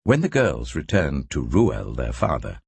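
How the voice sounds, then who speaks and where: A man reading scripture as a dramatic scripted narration, telling the story in a measured, expressive way. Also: very deep voice, vocal fry, breathy edge, no echo. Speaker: Bible narrator.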